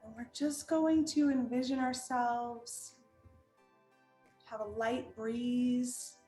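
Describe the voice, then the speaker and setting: A woman leading a guided meditation in a calm, gentle manner, giving unhurried instructions with long pauses. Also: fairly high pitch. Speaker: meditation guide and art teacher. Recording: roomy echo with music underneath.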